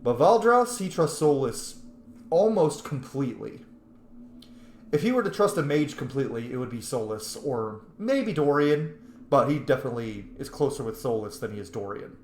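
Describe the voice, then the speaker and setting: A man's voice: medium pitch, lively intonation, moderate pace. Casual man commenting in an echoey room. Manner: informal, swearing, thinks aloud. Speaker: game streamer, role-player. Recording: roomy echo, faint background noise.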